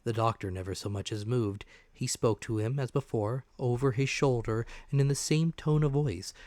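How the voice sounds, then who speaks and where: A man giving a dramatic, deliberate audiobook narration of a classic adventure tale, in expressive storytelling style. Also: mid-range voice, no echo.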